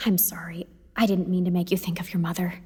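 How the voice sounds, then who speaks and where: A woman's voice: on the low side, level tone, quick pace, darkish tone, husky, in a large hall. A woman playing a wry, chatty, caring young companion in an audio drama. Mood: soft, sympathetic.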